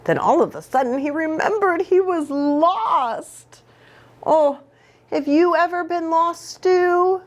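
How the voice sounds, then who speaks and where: Woman, children's story reader, expressive, unhurried pace, animated pitch, engaging read-aloud style. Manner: expressive, asks the listeners questions.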